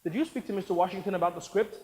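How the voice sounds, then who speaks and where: A man voicing a nervous, pleading male agent who rushes out his accusations. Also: sits high, warm tone, slightly rough, in a large hall.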